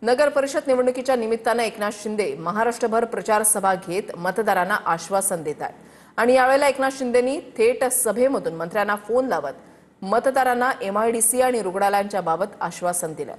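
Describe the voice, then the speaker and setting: A woman's voice: mid-range voice, a little fast. A woman anchoring a breaking news bulletin, repeating and expanding on the headline.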